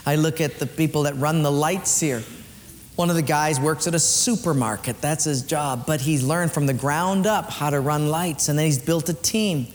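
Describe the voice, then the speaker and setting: A man preaching an earnest sermon as pastor in a church, swinging between lifted emphatic lines and hushed ones, then leading a prayer. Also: fairly high pitch, normal speed, long reverb tail, a little hiss or hum.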